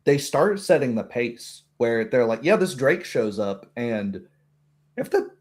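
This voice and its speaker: A man's voice: mid-range voice, very animated, a little slow. Casual man chatting about tabletop gaming. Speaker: tabletop game master.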